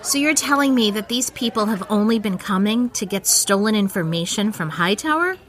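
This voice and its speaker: An actress playing a headstrong, sharp-tongued young punk musician in an audio drama. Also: female voice, medium pitch, animated, normal speed, a faint crowd, lightly acted. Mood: challenging.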